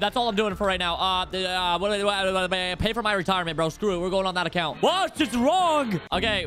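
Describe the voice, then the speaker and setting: A man's voice: high voice, pushed and bright. An American man in his twenties giving hyped, slangy gaming commentary to his viewers at a close desk mic. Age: 20s. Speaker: YouTube gaming prankster. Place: small room indoors, desk setup. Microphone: desk microphone close to mouth.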